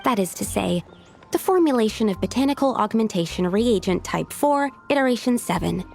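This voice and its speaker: A woman's voice: a little high, lively intonation, brisk, gravelly, a little mellow, faint music behind. A woman playing a studious, precise young alchemist in a video game, speaking methodically and earnestly. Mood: earnest, explanatory. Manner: methodical, explanatory, slightly hesitant.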